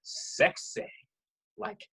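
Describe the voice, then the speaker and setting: An actor playing a self-deprecating young romantic in a stage monologue, speaking in an earnest, halting, rambling way. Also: male voice, sharp tone. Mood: wistful, eager. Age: young adult. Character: lovelorn, self-deprecating romantic young man, stubborn dreamer. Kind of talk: theatrical monologue to the audience.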